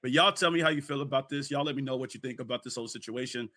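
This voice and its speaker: A hoarse-sounding man giving casual, slangy opinion commentary as an online video host. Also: mid-range voice, very fast, bright, forceful tone.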